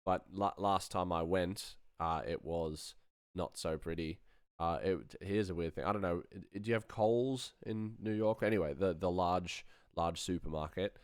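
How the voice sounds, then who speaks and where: A man with a low voice talks casually on a live stream, thinking aloud as he works. The sound is close and dry, and his delivery rambles like an informal tutorial from a sound designer. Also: unhurried, clean tone, dry recording.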